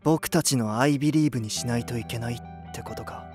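An actor playing a calm, serious, dependable young male band vocalist in an anime voice drama, composed and matter-of-fact. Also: mid-range voice, normal speed, music in the background, lightly acted. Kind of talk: Japanese anime voice drama acting.

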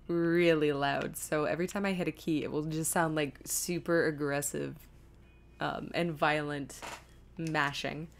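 A woman giving playful, chatty, casual commentary as she streams a game. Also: low-pitched.

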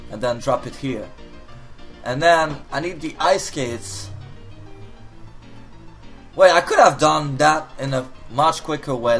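A man casually commenting while he plays a puzzle game, reading the hints aloud and joking as he goes. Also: mid-range voice. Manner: animated, reads on-screen hints aloud, jokey outbursts. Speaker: gaming video host. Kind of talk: casual let's-play commentary.

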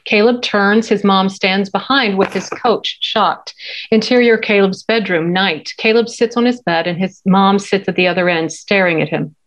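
A woman's voice: medium pitch, moderate pace, rough recording. A middle-aged woman reading stage directions as scripted narration at a table read. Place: home office, indoors.

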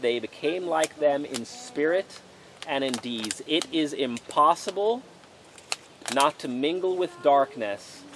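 A man's voice: a little high, slight background noise. A man in his forties, a pastor leading an outdoor sermon and Bible study, reading passages aloud and then preaching in a conversational way, recorded by a camera at a distance outdoors under a tent canopy.

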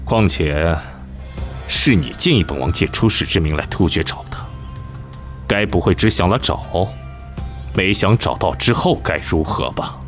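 A man's voice: deep voice, lively intonation, a little slow, fairly bright tone, soft background music. An actor playing an imperious young king in a costume audio drama, with a haughty, curt, commanding manner. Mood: haughty, probing. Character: imperious young male king of an ancient kingdom. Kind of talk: Chinese costume radio drama acting.

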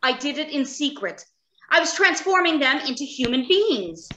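A woman's voice: sits high, sing-song, normal speed, pushed and bright, slight background noise, heavily acted. A woman acting a defiant, guilt-ridden scientist in an old radio drama, emphatic and declamatory. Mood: confessing.

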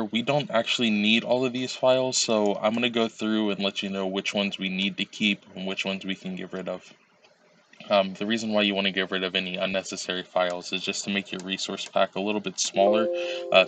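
A man with a low, fairly monotone voice, stuffy and slightly nasal from a lingering cold. He talks through a computer tutorial at an easy pace, filling pauses with ums and uhs, over a faint hiss. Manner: relaxed, step-by-step, with frequent um and uh. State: recovering from a cold, a little nasal. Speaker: tutorial creator. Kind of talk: screen-recorded software tutorial.

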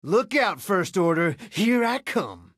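Young space pilot, breezy, confident, lively up and down delivery, video game, dry recording. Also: male voice, high-pitched, slow, no echo, full character voice. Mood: excited, urgent. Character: cocky, friendly young male resistance pilot. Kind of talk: video game character voice clips.

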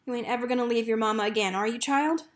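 A woman's voice: on the high side, fast, lightly acted. A woman voicing a loving, devout mother from a storybook, with tender, folksy rural speech. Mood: tender, cautioning.

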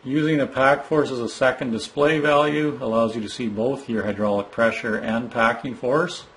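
A man's voice: mid-range voice, fairly even intonation, moderate pace, a bit bright, rough recording. A man giving a plain, step-by-step walkthrough of a monitor's setup screens, speaking from behind a handheld camera in an indoor room. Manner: plain, instructive, some fillers and restarts. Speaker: product trainer.

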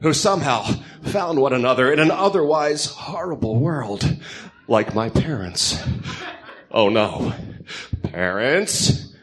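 A man voicing a grim, brooding masked vigilante with a deep growl and a solemn, menacing delivery in a radio drama. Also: lively intonation, slow pace, a bit bright. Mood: grieving, bitter.

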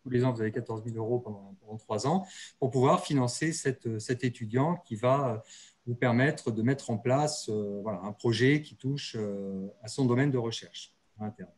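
A man's voice: mid-range voice, moderate pace, darkish tone. A man giving a conference presentation, speaking hesitantly with frequent fillers and restarts. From France. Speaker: university research partnership officer.

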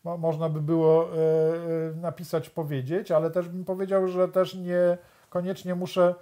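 Older male author, studio chat, halting and reflective, a bit high-pitched. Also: monotone, warm tone. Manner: thoughtful, many restarts and hesitations. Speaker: author and guest. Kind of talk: studio discussion.

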